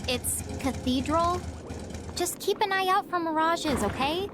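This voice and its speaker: A woman's voice: very high voice, measured pace, noisy background. A woman playing a sensible, cautious teenage girl in a video game, earnest and corrective. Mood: wary. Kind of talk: animated video game voice acting. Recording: music behind the voice.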